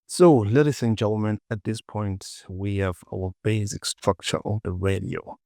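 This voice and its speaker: A man presenting a casual software tutorial, informally talking himself through the work and often repeating words, close to the mic. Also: on the low side, moderate pace, vocal fry, dry recording.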